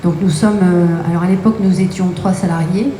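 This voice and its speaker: A woman's voice: fairly low pitch, steady tone, brisk, warm tone. A woman from Brittany, a company co-owner, answering interview questions with many hesitations. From Brittany, France. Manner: explanatory, many hesitation fillers. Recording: echoey room with faint noise.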